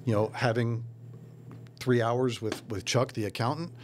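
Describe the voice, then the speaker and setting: A man in his forties hosting a podcast, casual and blunt, swearing now and then, on a boom mic in a small studio. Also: fairly even intonation, measured pace, a little hiss or hum. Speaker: podcast host. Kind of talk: casual chat. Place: small studio with painted mural. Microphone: boom mic on arm.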